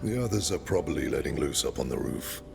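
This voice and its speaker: A male actor playing a steady senior warrior in a video game, with a gravelly voice. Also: a bass voice, fast, full character voice. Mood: calm, advising. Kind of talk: dramatic video game acting. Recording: music behind the voice.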